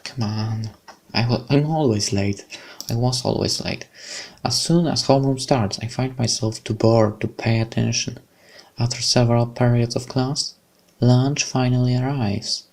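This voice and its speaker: A man's voice: mid-range voice, steady tone, unhurried, a little mellow. A young man with a Polish accent, reading a visual novel aloud and chatting, stumbling over words. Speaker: let's play host.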